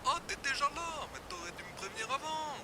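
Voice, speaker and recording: A man's voice: very high-pitched, big pitch swings, fast, very noisy. A man playing an easygoing, chatty alien pilot on a call in a French audio drama, speaking colloquially. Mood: easygoing, surprised.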